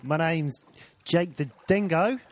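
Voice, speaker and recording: A man putting on an exaggerated Australian accent as a cheeky bloke, bantering in a matey, halting way on a comedy podcast. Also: a little high. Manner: matey, halting, repeats himself. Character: cheeky Aussie bloke called a dingo, podcast co-host.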